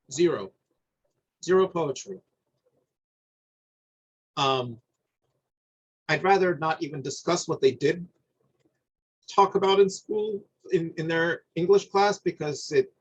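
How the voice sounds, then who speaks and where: A middle-aged man from New Jersey speaks slowly and stops often to restart his words. His voice is husky. It comes through as dull, lo-fi video-call audio. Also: mid-range voice. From New Jersey, USA. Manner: halting, repeats words while searching for them. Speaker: interviewer. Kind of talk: thoughtful conversation on a video call.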